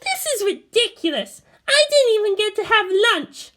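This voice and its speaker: A woman's voice: very high-pitched, very animated, normal speed, pushed and bright, pitched above the performer's own voice, full character voice. A woman voicing a haughty, fiery teenage girl pilot in a story, boastful and scornful. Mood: indignant.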